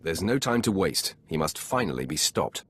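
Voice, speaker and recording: Deep, imperious lord. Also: male voice, sing-song, normal speed, a little hiss or hum. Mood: stern, imperious. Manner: imperious, terse, cold. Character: commanding, stern male Sith lord. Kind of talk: video game character acting.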